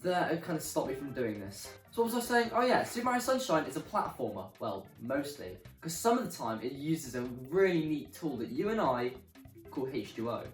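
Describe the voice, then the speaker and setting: Animated presenter in a roomy, distant recording. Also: medium pitch, brisk. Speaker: video presenter. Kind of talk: casual presenting to camera. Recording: roomy, distant mic, music behind.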